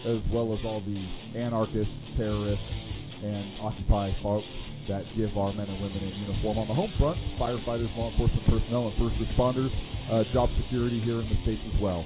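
A man's voice: lowish voice, steady tone, brisk. A man hosting a radio show, reading a sarcastic thank-you spot. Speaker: radio host. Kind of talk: promo read. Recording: tinny audio over guitar music.

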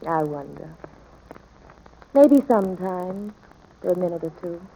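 A woman playing a patient, gently reasoning ranch mother in a 1940s radio drama, thoughtful and measured. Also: fairly low pitch, expressive, slight background noise, poor audio. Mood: gentle, thoughtful. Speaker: actor in radio play.

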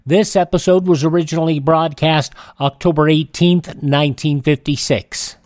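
A man hosting a classic radio program, reading introductions in a measured announcer style. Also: a little high, steady tone, darkish tone, no echo, studio quality. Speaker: radio show host.

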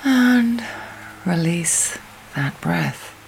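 Female meditation guide, hushed and breathy with gravelly fry, soothing and spacious delivery, faint storm and rain in the background. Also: on the low side, poor audio. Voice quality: soft, breathy, often near whisper. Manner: slow, soothing, long pauses between phrases. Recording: steady storm and rain noise.

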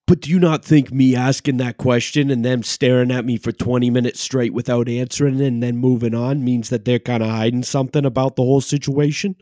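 A man plays a brash bartender desperate for reality-TV fame, who talks in long, rambling, boastful stretches while pretending to be modest. His voice sits at an ordinary middle pitch and sounds close and dry, with no room around it. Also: steady tone, a little fast, few pauses, warm tone, no room sound, lightly acted. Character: brash, self-important male bartender chasing reality-TV fame. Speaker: guest. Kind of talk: improvised comedy character interview.

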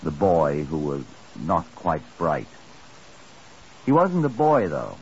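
Deep-voiced police inspector on muffled old radio. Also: male voice, huge pitch swings, heavily acted. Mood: grave, narrating. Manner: measured, grave, dramatic. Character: sober male Scotland Yard chief inspector recounting his case. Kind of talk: 1950s radio drama narration. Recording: muffled, hissy 1950s radio recording.

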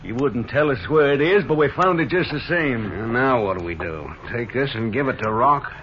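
An actor playing a callous, crude escaped-convict outlaw in a 1950s radio western. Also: male voice, mid-range voice, expressive, moderate pace, lightly acted. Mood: gloating, impatient. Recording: dull 1950s radio recording, faint noise.

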